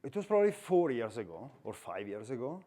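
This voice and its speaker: A man's voice: medium pitch, very animated, a little fast, warm tone. A man in his forties with an Italian accent, giving a chatty, self-deprecating conference talk on stage into a headset mic. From Italy. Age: 40s. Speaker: conference speaker.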